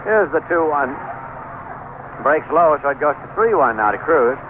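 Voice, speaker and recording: A man calling baseball play by play on 1970s radio, describing the action in measured, short phrases. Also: on the high side, lively intonation. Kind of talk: 1970s radio sports commentary. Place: ballpark broadcast booth. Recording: noisy, narrow-band old AM radio broadcast.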